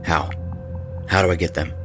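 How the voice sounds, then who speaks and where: A man's voice: low voice, fairly even intonation, moderate pace, over music, close to an everyday voice. A man playing an anxious, obsessed rock musician turned killer in an audio horror drama, intense and desperate. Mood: desperate pleading.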